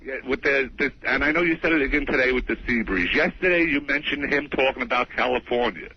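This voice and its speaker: A man's voice: mid-range voice, fast talker. A man calling in to a radio show and arguing, insistent, repeating himself and contradicting the others. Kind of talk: unscripted call-in argument. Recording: dull, noisy radio call tape.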